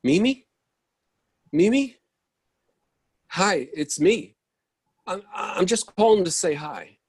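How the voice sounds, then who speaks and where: A man's voice: sits high, lively intonation, breathy edge, full character voice. A man reading the part of an impatient character who loses patience easily and needs his coffee, in a staged play reading. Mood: bickering. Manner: exasperated, quick to lose patience. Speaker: reader in a readers theatre play.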